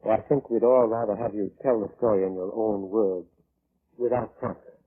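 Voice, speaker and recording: An actor playing a coolly polite, insistent coroner in a 1940s radio drama, speaking formally with sinister, needling courtesy. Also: male voice, fairly low pitch, steady tone, moderate pace, slightly rough. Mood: politely probing. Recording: narrow, tinny, noisy old radio broadcast.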